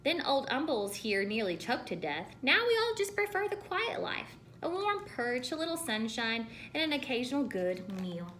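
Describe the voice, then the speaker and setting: A woman's voice: high voice, very animated, normal speed, hardly any pauses, a bit bright, slight background noise. A woman voicing a boastful, nostalgic old male snake in a storybook, reminiscing with emphasis.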